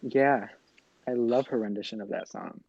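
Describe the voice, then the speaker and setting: A man's voice: medium pitch, steady tone, moderate pace, over a noisy crowd. A man chatting with other fans, enthusiastic. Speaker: fan on a group call. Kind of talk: casual chat. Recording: dull, dry lo-fi audio.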